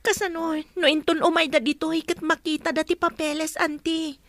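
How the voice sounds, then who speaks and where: A woman's voice: very high-pitched, flat delivery, moderate pace, a bit bright, no room sound. A woman playing a young, earnest captive niece in an audio drama, speaking respectfully to her elders. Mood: earnest, hopeful. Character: young captive heiress Eva, pleading, hopeful, dutiful niece. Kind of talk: Ilocano audio drama acting.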